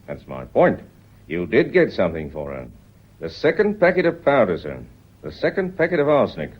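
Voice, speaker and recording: An actor playing a courteous but relentless police inspector in a radio play, questioning in a deliberate, insistent way. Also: male voice, lowish voice, wildly swooping pitch, slightly rough, dull audio, exaggerated voice. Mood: shrewd, insistent.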